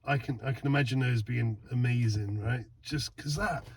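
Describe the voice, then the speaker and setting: A man's voice: mid-range voice, normal speed, slightly rough, no room sound. A man in casual vlog chat outside at night, testing van lights, exclaiming and repeating himself as he talks. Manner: excitable, exclaims and laughs, repeats words.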